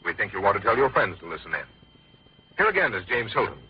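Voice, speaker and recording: Polished old-time radio announcer, muffled. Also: male voice, lowish voice, very animated, fast. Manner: polished, formal, promotional. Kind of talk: scripted 1950s radio announcing. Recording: muffled vintage radio broadcast.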